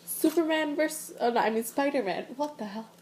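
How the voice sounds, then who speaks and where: A woman's voice: sits high, lively intonation, a little fast, darkish tone, a little hiss or hum. A young woman with a stuffy, sniffly nose chatting casually at home as a vlogger, rambling with fillers and restarts. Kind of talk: casual chat, reaction review. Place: living room at home, indoors.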